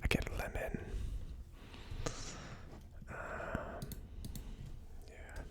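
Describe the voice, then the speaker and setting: Asmr host whispering, kinda unsure and musing out loud, all breath no voice. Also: extremely slow. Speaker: host of a whiskey tasting series.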